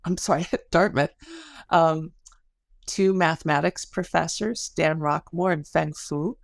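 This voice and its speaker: A woman's voice: low-pitched, a little slow, vocal fry, dry recording, studio quality. A middle-aged woman speaking as an academic guest in a podcast interview, explaining things articulately through stories and analogies.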